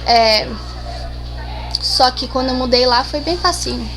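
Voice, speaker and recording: A woman's voice: high voice, a little slow, rough recording. A seventeen-year-old girl from Brazil, answering interview questions casually, shy and hesitant with many restarts. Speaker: interview guest.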